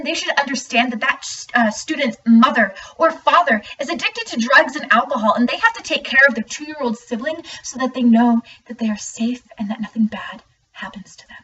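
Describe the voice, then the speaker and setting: Earnest high-voiced student, acted by a young woman. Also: a bit bright, breathy edge. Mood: upset, reproachful. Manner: earnest, emphatic. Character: earnest student speaking out about teachers. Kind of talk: scripted dramatic monologue. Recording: muffled, distant mic, faint noise.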